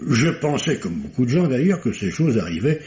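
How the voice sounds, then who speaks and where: An actor playing a solemn, wordy male doctor in a vintage radio mystery, with pompous, deliberate, literary delivery. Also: mid-range voice, very animated, darkish tone, close to an everyday voice. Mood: solemn, astonished. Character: formal, verbose, solemn male country doctor. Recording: dull, noisy old radio recording.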